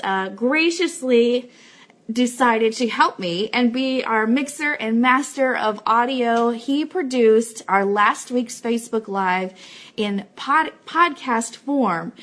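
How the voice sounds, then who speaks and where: Woman, slightly high voice, live stream co-host, casual, chatty, effusive delivery. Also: a bit bright. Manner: chatty, effusive, greeting viewers by name. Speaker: co-host and founder of a radio show.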